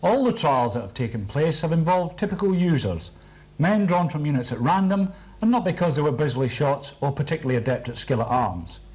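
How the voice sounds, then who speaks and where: A man's voice: on the high side, darkish tone. A British man giving a formal scripted briefing for a military training film, precise and matter-of-fact.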